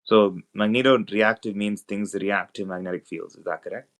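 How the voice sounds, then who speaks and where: A man arguing on an online call, rapid and exasperated, swearing and full of fillers. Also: fairly low pitch, steady tone, fairly bright tone. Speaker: debater on a call. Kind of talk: heated online debate.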